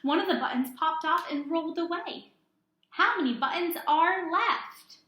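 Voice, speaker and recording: A woman teacher reading aloud to young children in a deliberate, sing-song way, asking questions and answering them herself. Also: extremely high pitch, sharp tone, echoey room. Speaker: early-grades teacher.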